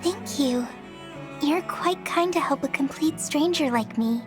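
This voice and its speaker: A mysterious, soft-hearted wandering girl in a video game cutscene. Her voice is high and wistful, with clear music underneath. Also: a little slow. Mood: soft, hopeful.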